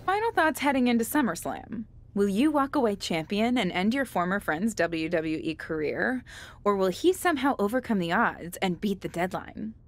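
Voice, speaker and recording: A woman's voice: medium pitch, animated, moderate pace, lightly acted. An actress playing a wry, chatty documentary interviewer in a wrestling video game cutscene, probing as she talks.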